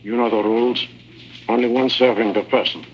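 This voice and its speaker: An actor playing a guarded, businesslike prison-camp server and secret contact, giving terse instructions in 1950s radio drama style. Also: male voice, mid-range voice, steady tone, a little fast, dull audio, close to an everyday voice. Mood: stern. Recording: very noisy vintage radio recording.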